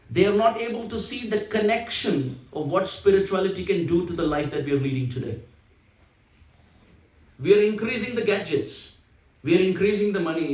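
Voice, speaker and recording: A man's voice: high voice, a little mellow, recorded from a distance. Emphatic man preaching in an echoey hall. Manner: emphatic, repeats key phrases. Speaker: spiritual speaker. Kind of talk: sermon.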